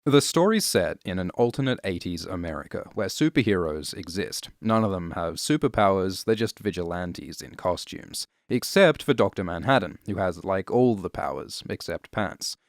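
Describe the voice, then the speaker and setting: A man delivers scripted explanatory narration in a low-pitched voice. His manner is deadpan and wry, slipping in casual asides. The recording is close and dry, with no room sound. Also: normal speed, few pauses, no room sound. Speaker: host and narrator of explainer videos.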